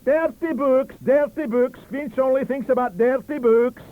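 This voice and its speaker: A man's voice: very high-pitched, a little slow, narrow, tinny audio, pitched above the performer's own voice. A man voicing an indignant, combative Scottish academic, bellowing and declaiming in an audiobook story. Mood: mocking, combative. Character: shouting, indignant Scottish male professors and librarian, combative, scornful.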